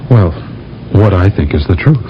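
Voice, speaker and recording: A man's voice: low voice, normal speed, a little hiss or hum. An actor playing an earnest astronaut captain who narrates and pleads his case, in a 1970s radio drama. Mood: pleading. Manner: earnest, persuasive, lively delivery. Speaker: narrator and lead. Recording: dull old radio broadcast.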